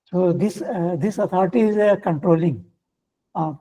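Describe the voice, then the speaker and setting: Hoarse, halting Indian man lecturing. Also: high-pitched, mellow, poor audio. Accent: Indian English. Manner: halting, many uh fillers and restarts. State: getting hoarse. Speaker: guest lecturer. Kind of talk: online faculty workshop lecture.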